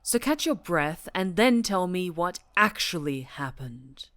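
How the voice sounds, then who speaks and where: A woman's voice: lowish voice, very animated, no room sound, clean studio sound. A woman playing an obsessive, domineering superhero captor in a dramatic ASMR roleplay, speaking with volatile, condescending menace. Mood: stern interrogation. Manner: slow, menacing, condescending, breaking into whispers and shouts.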